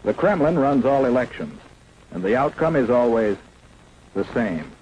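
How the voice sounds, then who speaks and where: A man's voice: medium pitch, very animated, normal speed, darkish tone, lo-fi sound. A man narrating a 1950s propaganda film in an emphatic, declamatory way. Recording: faint hiss of an old 1950s film soundtrack.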